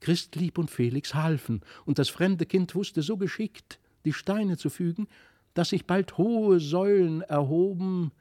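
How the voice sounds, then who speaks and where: Bright-voiced man reading a fairy tale. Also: high-pitched, no echo, studio quality. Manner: literary, expressive storytelling. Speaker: audiobook reader. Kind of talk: expressive fairy-tale narration.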